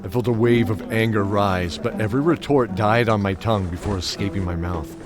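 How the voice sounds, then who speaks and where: A deep-voiced old knight, acted by a man, recounts his tale with brooding weight and measured, reflective pauses. It is an audio drama narration with prominent music underneath. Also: natural voice. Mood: ashamed, anguished.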